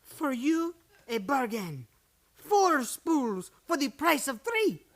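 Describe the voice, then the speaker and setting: A woman's voice: high-pitched, sing-song, slow, full character voice. A woman playing a coaxing, haggling French shopkeeper with a French accent in a western radio play. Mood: wheedling. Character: wheedling French shopkeeper Moreau, eager haggling salesman.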